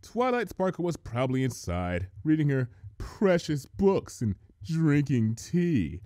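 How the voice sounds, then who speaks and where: A man's voice: on the high side, big pitch swings, measured pace, warm tone, dry recording. A man reading a story aloud as a scripted narrator.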